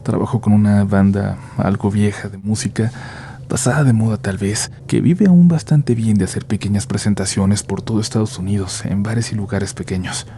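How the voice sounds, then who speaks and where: A man narrating a first-person horror story in a hushed, measured way, sometimes dropping to a near-whisper. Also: on the low side, fairly even intonation, slight background noise.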